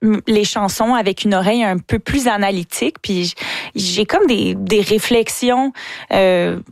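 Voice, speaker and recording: A young woman with a Québécois French accent talks about her work in a relaxed, enthusiastic way. She stumbles and restarts words as she rushes to get her thoughts out, at a middle pitch. The sound is close and dry, with no room echo. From Quebec. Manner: enthusiastic, chatty, many restarts and stammers. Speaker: guest, singer and TV host. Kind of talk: casual interview answers.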